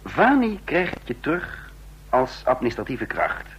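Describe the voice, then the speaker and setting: Commanding, dramatic intelligence chief. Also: male voice, mid-range voice, huge pitch swings, a bit bright, slightly rough, exaggerated voice, low-quality recording. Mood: cold, commanding. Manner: menacing, deliberate, formal. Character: cold calculating intelligence chief, narrating his own downfall. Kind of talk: radio drama acting and first-person narration. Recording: vintage radio play with faint hum.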